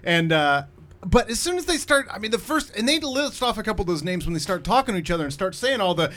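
Fast-talking male film reviewer. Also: high voice, sing-song, a bit bright. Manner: rapid, restarts often, many fillers. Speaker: podcast co-host, film reviewer. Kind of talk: casual film review chat.

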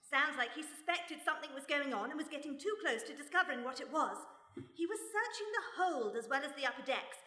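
A woman playing a brisk, composed, witty lady detective in a live comedy radio mystery, speaking in an articulate, dryly proper way. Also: high-pitched, sharp tone. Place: stage in a hall before a live audience. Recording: echoey hall, live stage recording.

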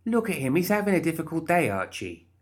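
A man's voice: on the high side, sing-song, a little fast, voice pushed up. A man voicing a perky, chatty undead woman in an audiobook, bubbly and pushy with coaxing false reassurance. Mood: chirpy, coaxing. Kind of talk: audiobook character voice.

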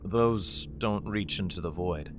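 A protective father in a sci-fi audio drama, worn down and guarded. His voice sits low and broods tensely as he narrates his survival, with soft music behind him. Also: slow pace. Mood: subdued. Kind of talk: audio drama acting with first-person narration.

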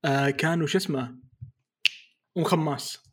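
A man's voice: fairly high pitch, fairly even intonation, breathy edge. A young man co-hosting a podcast, joking and teasing in casual chat. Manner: joking, fast-talking, teasing. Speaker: podcast co-host.

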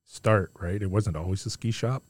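A man's voice: lowish voice, moderate pace, a little mellow, no echo. A man hosting a casual podcast, a friendly and easygoing interviewer who leans on fillers. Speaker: podcast host.

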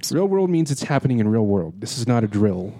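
A man's voice: on the low side, very animated, a little fast, warm tone, no echo. A man hosting a casual podcast, telling a story in a rambling way with many fillers and restarts. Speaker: podcast host.

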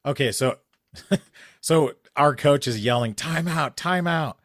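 A man in casual chat, telling a long story that gets louder and more animated as it goes. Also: on the high side, slow pace, dry recording, clean studio sound. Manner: animated storytelling, rising loud when excited.

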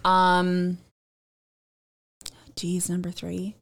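A woman sits in as a guest on a podcast recorded at home. Her voice is somewhat low for a woman, and she chats casually in a dry, matter-of-fact way, laughing often. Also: completely flat delivery, very slow, vocal fry. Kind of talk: casual chat.

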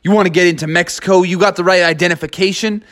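A man's voice: sits high, fairly even intonation, quick pace, a bit bright, voice pushed up. A man voicing a big male wolverine who works as a border guard, firing off blunt questions. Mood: suspicious.

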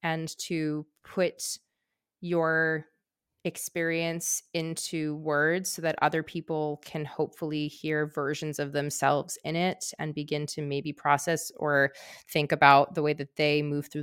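A woman's voice: deep voice, flat delivery, unhurried, clean tone, no echo, clean studio sound. A woman hosting a conversational podcast interview, effusive and emphatic in the way she talks. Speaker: podcast host.

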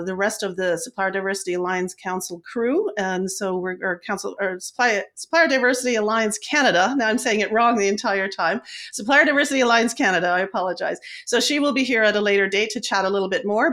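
A woman hosting a podcast interview, chatty and warm-hearted, often stumbling over words and correcting herself. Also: medium pitch. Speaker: podcast host.

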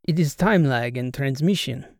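A man's voice: medium pitch, normal speed, no room sound, studio quality, close to an everyday voice. A man doing an earnest Croatian student with clipped English. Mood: explaining. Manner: clipped broken English, dropped articles. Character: male Croatian PhD student, earnest, blunt.

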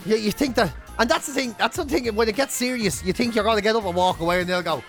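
Irish male co-host, high voice, sweary mock-indignant banter, faint music underneath. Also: fast, fairly bright tone. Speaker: podcast co-host. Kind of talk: casual banter.

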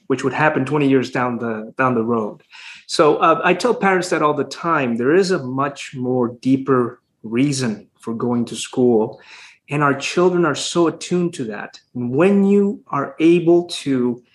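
A man from Honduras, an educator speaking as an interview guest in a thoughtful, measured way with frequent hesitations. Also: mid-range voice. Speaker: classical school educator and guest. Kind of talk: conversational interview answers.

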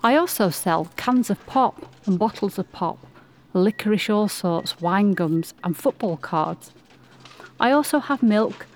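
A woman reading scripted storytelling narration for an amateur radio play. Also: medium pitch.

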